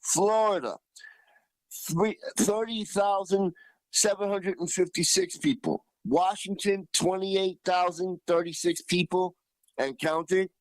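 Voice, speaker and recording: A man co-hosting a news show, reporting in a conversational, halting and deliberate way, repeating himself as he reads out figures. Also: sits high, fairly even intonation, no echo, rough recording. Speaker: radio news co-host.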